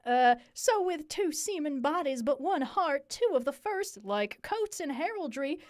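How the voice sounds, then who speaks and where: A woman acts out a young Southern belle in a stage comedy, high-voiced and melodramatic. She declaims with wronged indignation in a Southern US accent. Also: lively intonation, unhurried, a little mellow. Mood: wounded, reproachful.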